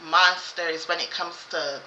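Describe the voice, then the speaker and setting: A woman's voice: on the low side, fairly even intonation, a little slow, bright, forceful tone, a little hiss or hum. A woman chatting casually as co-host, rambling and repeating herself with many fillers and restarts. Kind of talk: casual chat. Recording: roomy, slightly dull sound.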